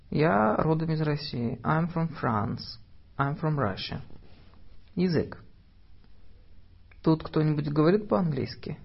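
A man slowly reading phrasebook sentences in Russian and English, one by one, for learners. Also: mid-range voice, gravelly, slightly rough, dull audio. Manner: slow, deliberate phrase-by-phrase reading. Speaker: language teacher.

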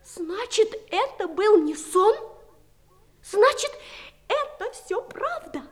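A woman's voice: very high voice, big pitch swings, slow pace, heavily acted. A woman playing an earnest, wondering little girl in a 1960s audio play, with a childlike, wide-eyed delivery. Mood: amazed.

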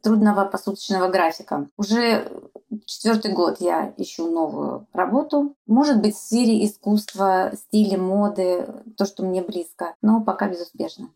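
A woman in her fifties speaks in a medium-pitched voice. She is a podcast guest giving a short introduction about herself. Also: normal speed, gravelly, darkish tone. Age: 50s. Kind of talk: brief self-introduction.

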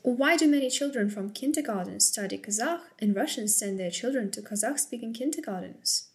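Woman interviewer, curious and friendly, somewhat high voice. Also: expressive, fairly quick. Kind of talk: asking interview questions.

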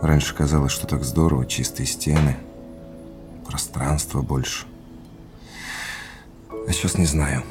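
A man's voice: rumbling low, fairly even intonation, measured pace, pauses often, music in the background. An actor playing an earnest, curious man in an audio drama, speaking in brief, thoughtful replies. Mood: pensive, relaxed. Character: earnest man curious about his family history.

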